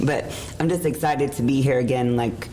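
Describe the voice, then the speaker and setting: A middle-aged woman with a hoarse, worn voice, hosting a casual podcast and rambling openly. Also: very deep voice, moderate pace, dark tone, low-quality recording. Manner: rambling, emotional, laughs often. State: hoarse, lost voice. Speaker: podcast host. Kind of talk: casual chat. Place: room at an event hotel. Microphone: shared mic.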